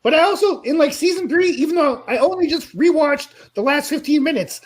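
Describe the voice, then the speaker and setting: A performer voicing a crude, excitable male frog puppet in a live comedy chat, with a high, bouncy puppet voice. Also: brisk. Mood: enthusiastic.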